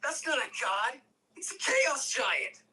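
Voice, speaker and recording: Woman as brash, animated young male fighter. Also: sits high, takes it slowly, far from the mic, exaggerated voice. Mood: sarcastic, incredulous. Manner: mocking, exclamatory. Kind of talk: script table read.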